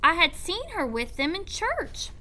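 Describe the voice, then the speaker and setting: A woman reading a storybook aloud as a teacher, with deliberate, animated and expressive narration. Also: very high-pitched.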